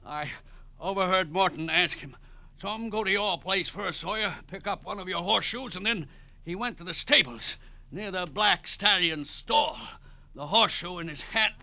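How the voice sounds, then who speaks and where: High, sharp-voiced radio villain. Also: male voice, normal speed, creaky, full character voice. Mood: pained, pleading. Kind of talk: 1940s radio drama acting.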